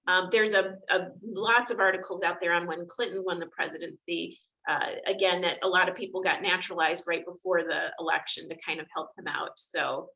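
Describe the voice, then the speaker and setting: A woman immigration attorney chats over a video call, loose and conversational with plenty of fillers. Her voice is bright and forward. The call audio is a little dull and lo-fi. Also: fairly low pitch, fairly even intonation, fairly quick. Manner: chatty, many fillers. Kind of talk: video call panel discussion.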